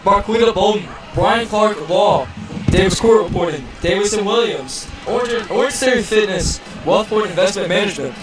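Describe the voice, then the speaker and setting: A man with a raspy voice calling play-by-play for a live game, often stumbling and restarting. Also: sits high, expressive. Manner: halting, stumbles and restarts on names. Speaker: play-by-play announcer. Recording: echoey, distant mic, loud music.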